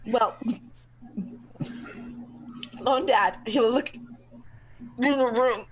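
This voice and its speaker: A woman's voice: a little high, takes it slowly, poor audio. A woman acting a timid, hesitant lady who falters and repeats words in a comedic radio play. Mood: worried, faltering. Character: timid, hesitant woman named Lottie on a date.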